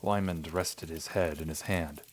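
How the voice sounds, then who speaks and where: Deep-voiced male storyteller. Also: fairly even intonation, a little slow. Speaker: audio drama narrator. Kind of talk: scripted first-person story narration.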